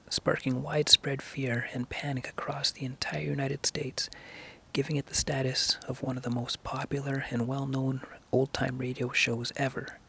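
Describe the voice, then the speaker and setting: Male host, gravelly and husky, fairly level melody, presenting vintage radio. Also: medium pitch, fairly bright tone, a little hiss or hum. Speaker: channel host. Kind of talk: introduction to an old radio show, reading out headlines.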